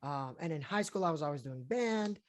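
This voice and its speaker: A young adult man, an actor being interviewed, who talks in a relaxed, chatty way with lots of fillers. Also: on the high side, a little fast. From Colombia, raised in Florida and Houston. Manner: relaxed and chatty, with many fillers and easy laughs. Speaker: actor guest. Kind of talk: casual interview talk.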